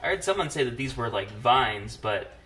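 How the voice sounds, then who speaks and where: A man's voice: medium pitch, lively intonation, moderate pace, bright, forceful tone. Middle-aged man chatting over noisy background. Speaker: host of a family drawing channel. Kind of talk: casual chat while drawing. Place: indoors at a wooden table. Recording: noisy home recording with clicks.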